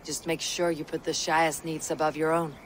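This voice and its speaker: A man's voice: fairly high pitch, moderate pace, slight background noise, natural voice, rough recording. A man playing a blunt, wry Viking warrior chieftain in a video game cutscene, speaking steadily and with confidence. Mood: firm. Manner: steady, declarative, dryly wry.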